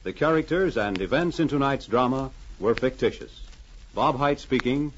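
A man's voice: medium pitch, moderate pace, slight background noise. A man narrating as a radio announcer in a formal, theatrical 1940s drama style. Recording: muffled old radio broadcast. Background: music.

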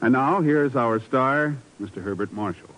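A man's voice: medium pitch, normal speed, fairly bright tone, soft background music. Formal male vintage radio announcer. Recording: dull old radio broadcast.

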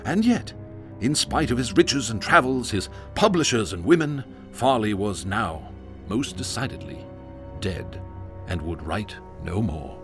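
A man's voice: lowish voice, very animated, unhurried, faint music behind. A man narrating a radio drama in a wry, theatrical way. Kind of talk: scripted storybook narration.

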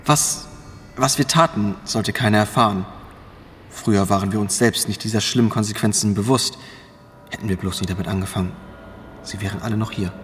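A male actor plays a grave, guilt-laden middle-aged man in a radio drama. His voice sits low, and he speaks in halting, foreboding phrases with dramatic pauses. A faint bed of noisy ambience lies behind him. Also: a bit bright, close to an everyday voice. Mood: regretful, grave. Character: haunted, guilt-ridden older man, fearful and grave. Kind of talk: German audio drama acting.

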